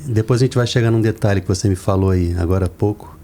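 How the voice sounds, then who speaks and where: A man's voice: on the low side, fast, dark tone. A Brazilian man hosting a podcast in casual, conversational interview style. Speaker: podcast host. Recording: clear background noise, room ambience.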